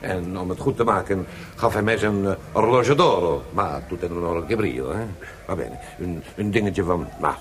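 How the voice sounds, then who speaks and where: A man playing an excitable, scheming Italian opera librettist in a radio drama, with an Italian accent and theatrical Italian exclamations. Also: low voice, normal speed, slight background noise, natural voice. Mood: confiding.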